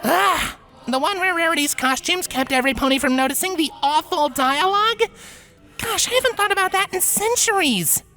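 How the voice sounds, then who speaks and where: Man playing a gentle, sweet unicorn ghost mare. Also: very high-pitched, animated, moderate pace, faint music behind, voice pushed up, full character voice. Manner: gentle, soft.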